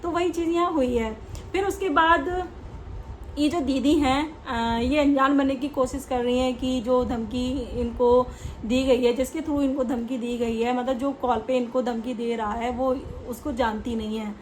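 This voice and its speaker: A woman with a high-pitched voice gives casual opinion commentary as a reaction host. She explains things conversationally, slipping English words into her talk. A faint noise sits behind her voice. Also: steady tone, a little slow, rough recording.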